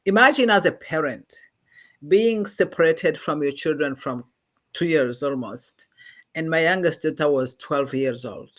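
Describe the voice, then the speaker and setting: Rwandan woman, middle-aged, talks slow and earnest with lots of uhs, interview guest. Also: low-pitched. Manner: earnest, measured, pauses with many fillers. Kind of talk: personal testimony in a podcast interview.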